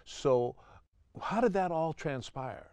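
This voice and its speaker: Effusive male interview host, smooth voice. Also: on the high side, expressive, slow, darkish tone, no echo. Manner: enthusiastic, effusive, backchannels often.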